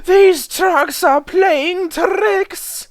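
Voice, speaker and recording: A man voicing a peevish, easily exasperated steam engine in a strained, shouty voice for a children's story. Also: very high-pitched, drawn-out words, no echo, voice pushed up, full character voice. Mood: panting, pleading.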